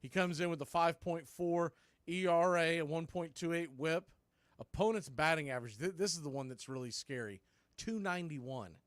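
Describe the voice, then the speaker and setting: Smooth, dry-voiced play-by-play man. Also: on the high side, normal speed, dry recording. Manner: conversational, opinionated fan commentary. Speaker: fan radio play-by-play host. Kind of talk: play-by-play baseball commentary.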